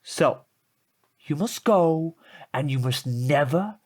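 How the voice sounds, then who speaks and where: A man's voice: fairly high pitch, expressive, slow pace. An actor playing a smug, menacing, impossibly perfect teenage boy in an audio drama, formal and pompous in manner. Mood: grandiose, cold.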